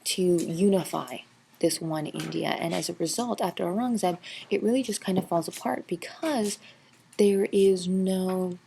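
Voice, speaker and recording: A woman with a low, gravelly voice narrates a history review over slides in a patient, explanatory teaching manner. She fills her pauses with ums and uhs. A faint hiss sits under the recording. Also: warm tone. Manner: explanatory, filler-heavy with frequent um and uh.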